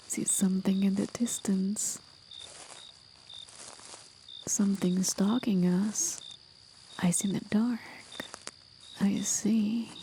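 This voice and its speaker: A woman playing a playful, courteous demon forest guide in an ASMR roleplay, with soft, breathy, often whispered speech and a teasing, gently theatrical manner. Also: mid-range voice, slight background noise. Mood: calm reassurance. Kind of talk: ASMR roleplay, scripted acting.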